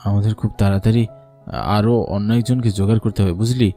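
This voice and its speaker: A man's voice: fairly low pitch, steady tone, quick pace, dark tone, lightly acted. A man voicing a scheming but easily alarmed village schoolboy in a horror cartoon. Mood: calm, scheming. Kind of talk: dubbed horror cartoon dialogue. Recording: music under the voice.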